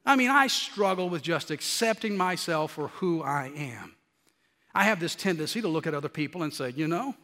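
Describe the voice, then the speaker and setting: A middle-aged male preacher with a high, nasally and slightly scratchy voice. He talks in an animated way and climbs to emphatic peaks. His voice rings out with a long reverb in a church hall. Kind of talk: sermon.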